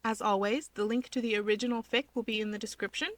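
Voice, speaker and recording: A woman's voice: on the high side, fairly even intonation, fast. A woman hosting a fan fiction reading channel, speaking informally as a narrator and commentator.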